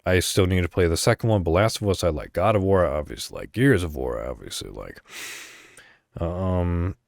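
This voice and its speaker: A low-voiced man giving casual podcast commentary at an unhurried pace. His pitch moves around a lot as he thinks out loud in a loose, rambling way, and the sound is dry and close. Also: expressive, vocal fry, no echo. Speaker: podcast host.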